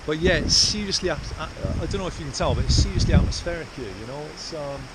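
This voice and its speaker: A man from South Wales, chatting casually and haltingly to camera as he explores outdoors. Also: on the high side. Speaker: vlogger, artist explorer. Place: outdoors in rainy, windy hills. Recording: loud wind and outdoor noise.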